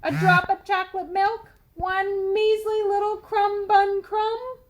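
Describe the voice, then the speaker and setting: Woman voicing a whiny, high-pitched, bossy talking tooth. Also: level tone, slow pace. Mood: wheedling. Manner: pleading, melodramatic, mouthy. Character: whiny, bossy sugar-craving talking tooth, wheedling and dramatic.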